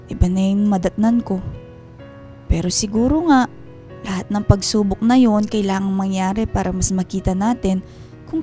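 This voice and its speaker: An actress playing a tender, wounded wife and mother in a Filipino radio drama, speaking in an earnest, measured way. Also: mid-range voice, warm tone, lightly acted. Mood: tender, reflective. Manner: earnest, heartfelt, measured delivery.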